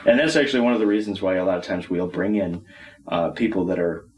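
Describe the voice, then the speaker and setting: A man's voice: low-pitched, quick pace. A man chatting casually as a panelist, talking in loose, rambling phrases. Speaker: panelist on a hobby talk show. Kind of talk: casual chat. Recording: distant mic, faint room noise.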